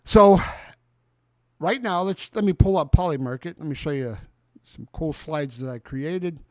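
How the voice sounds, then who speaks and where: Guy in his 60s hosting a chatty livestream, voice a bit high, close dry sound. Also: a little slow, no room sound. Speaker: talk show host. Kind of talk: casual political livestream chat.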